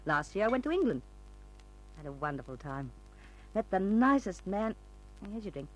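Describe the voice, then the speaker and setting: A woman's voice: mid-range voice, big pitch swings, moderate pace, low-quality recording. An actress playing a brash, slangy, eccentric woman with a shrewd side in an old-time radio drama. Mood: cheerful, proud. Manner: slangy, bold, theatrical. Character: brash eccentric older woman, street character secretly shrewd and refined. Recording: old radio recording with music.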